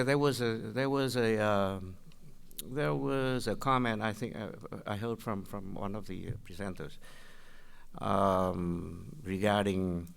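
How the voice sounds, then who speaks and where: An older man from Vietnam with a Vietnamese accent, asking questions at a public meeting in a halting way, with many restarts. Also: on the low side, creaky, a bit bright, clear, resonant tone. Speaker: public commenter.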